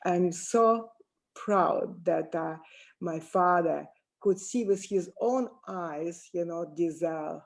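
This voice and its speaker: Slow, gravelly older Russian-accented woman. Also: lowish voice, darkish tone. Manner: halting, emotional, searching for words. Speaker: audience caller. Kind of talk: casual remarks on a video call. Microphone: video call.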